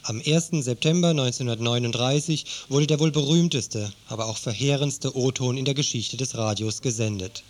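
A man's voice: medium pitch, normal speed, hardly any pauses. A man narrating a scripted radio news feature in an earnest, critical tone.

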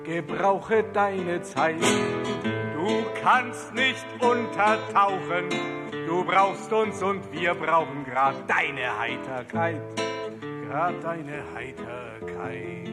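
A man's voice: on the high side, drawn-out words. A man singing a folk song, accompanied by a guitar. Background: acoustic guitar.

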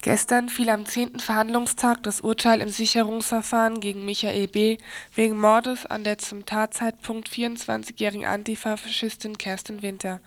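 A woman's voice: fairly high pitch, level tone, moderate pace, no room sound. A woman presenting radio news, reading formally from a script.

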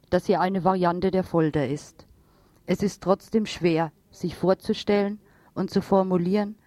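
Low-voiced woman reading a serious radio report. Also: a little mellow, no room sound. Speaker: radio news presenter. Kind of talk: scripted news report read aloud.

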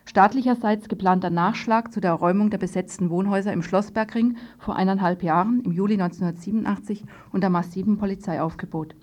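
Woman radio host, medium pitch, reading news bits and doing studio intros. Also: fast talker, hardly any pauses, a little mellow, dull audio. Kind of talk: news magazine presenting, partly scripted.

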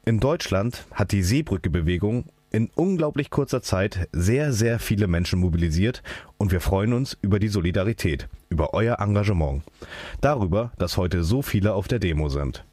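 Low, animated man giving an activist speech. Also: moderate pace, dry recording. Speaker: activist speaker. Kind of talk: scripted political rally speech.

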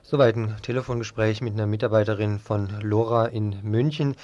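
Male radio presenter, low pitch, flat intonation, scripted announcements. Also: fairly quick, lo-fi sound.

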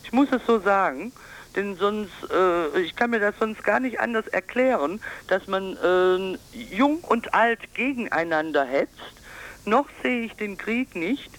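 A woman giving a political radio interview as an advocate, emphatic and insistent, sometimes halting. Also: medium pitch, lively intonation, rough recording. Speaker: pensioners' advocacy group board member, interviewee.

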